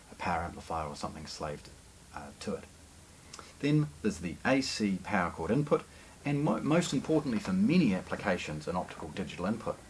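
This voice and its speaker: A man giving an unscripted product walkthrough in a measured, explanatory, slightly formal way, as a shop presenter. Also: low-pitched, slight background noise, poor audio. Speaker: shop presenter hosting product unboxing video.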